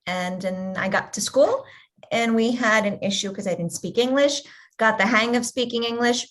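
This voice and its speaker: A woman in her forties at an ordinary middle pitch. She talks earnestly and steadily, a patient advocate telling her own story to a panel. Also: a bit bright. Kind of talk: personal story on a video panel.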